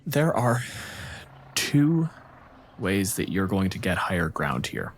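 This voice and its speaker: A man's voice: low-pitched, big pitch swings, slow pace. A man improvising narration as the game master of a tabletop fantasy game.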